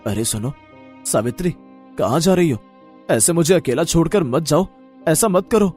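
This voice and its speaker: A man's voice: a little high, animated, normal speed, a little mellow, faint music behind, close to an everyday voice. A man voicing a humble, honest, downcast poor street sweet seller in a moral-story cartoon, pleading and earnest.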